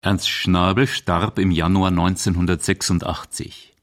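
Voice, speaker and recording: A man's voice: low voice, little pitch movement, measured pace. A man reading scripted narration for a radio documentary feature.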